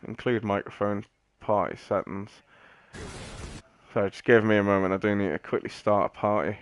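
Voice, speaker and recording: A man's voice: fairly low pitch, steady tone, moderate pace. A man giving casual, chatty live commentary as he streams a game, rambling with matey asides. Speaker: gaming streamer. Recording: loud game music and gunfire behind. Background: loud game music, gunfire.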